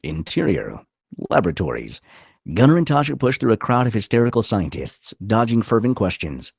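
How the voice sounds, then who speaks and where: A man reads screenplay stage directions in a low, rough-edged voice. His intonation moves around in an animated way as he narrates each scene. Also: moderate pace, no room sound, clean studio sound.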